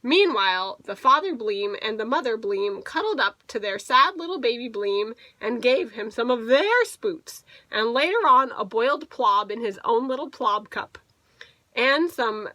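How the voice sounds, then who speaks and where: Bright, lively woman reading to children. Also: fairly high pitch, no echo. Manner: playful, drawn-out emphasis for children. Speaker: teacher reading to class. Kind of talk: expressive picture-book read-aloud with asides.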